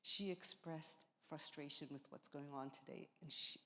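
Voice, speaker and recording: An older woman, a veteran nurse, giving measured personal remarks to an audience. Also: low-pitched, steady tone, bright, forceful tone. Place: large indoor hall at a live event. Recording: echoey hall with long reverb.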